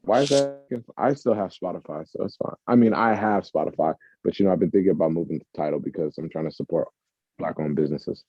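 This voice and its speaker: A man's voice: low voice, fast, warm tone, husky, no echo, muffled recording. A man co-hosting a casual podcast, chatting loosely and breaking into bits of song. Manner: breaks into off-key singing of song snippets. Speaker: podcast co-host. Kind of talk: casual chat.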